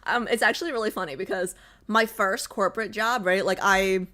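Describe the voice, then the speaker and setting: A woman's voice: mid-range voice, fairly even intonation, a little fast, pushed and bright. A young adult woman streamer chatting casually with her viewers, bubbly and full of fillers. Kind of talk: casual chat with live chat.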